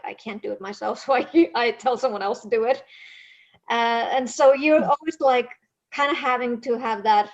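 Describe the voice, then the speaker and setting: Icelandic woman, laughing and rambling. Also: on the high side. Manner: rambling, self-mocking, frequent laughter. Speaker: podcast guest, author. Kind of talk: casual interview chat. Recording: dull lo-fi call audio.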